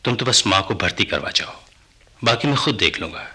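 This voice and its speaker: A man playing an avuncular older family doctor in a radio drama, familiar and reassuring, with a raspy voice. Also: lowish voice, lively intonation, a little fast, bright, forceful tone, heavily acted. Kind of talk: Hindi radio drama acting.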